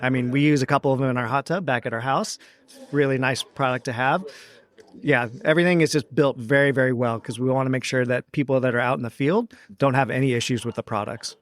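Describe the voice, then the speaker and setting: A man representing a brand, briskly pitching products in a trade show interview. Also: fairly even intonation, fairly bright tone.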